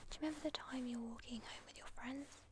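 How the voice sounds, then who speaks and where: A British woman playing an obsessive, lovesick captor in an intimate whispered ASMR roleplay, breathy, cooing and emotionally fragile. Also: high voice, steady tone, quick pace, background noise, natural voice. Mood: tender, confiding. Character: obsessive lovesick female captor, tender, volatile, doting on captive.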